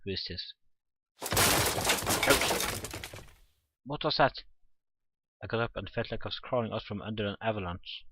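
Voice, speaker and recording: A man's voice: fairly low pitch, moderate pace, long pauses, breathy edge, dull audio. A man doing let's play commentary, reading a visual novel script aloud, acting out the dialogue and stumbling over words. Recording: game music behind the voice. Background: visual novel background music.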